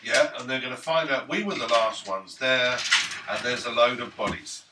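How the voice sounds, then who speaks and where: A man's voice: medium pitch, normal speed. A man bantering casually as a player at a tabletop game. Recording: roomy, distant mic, faint table noise.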